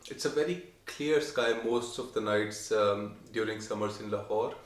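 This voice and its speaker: Soft-spoken hesitant man, echoey room. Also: fairly low pitch. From Pakistan. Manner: soft-spoken, hesitant, many pauses and ums. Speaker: interviewee. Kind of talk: casual chat.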